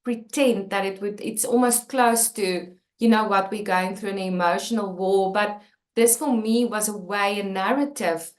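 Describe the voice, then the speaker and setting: South African woman author, Afrikaans first language, sincere and halting, roomy sound. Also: mid-range voice, steady tone. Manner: candid and earnest, many fillers and restarts. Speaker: author and channel host. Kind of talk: personal talk about her book.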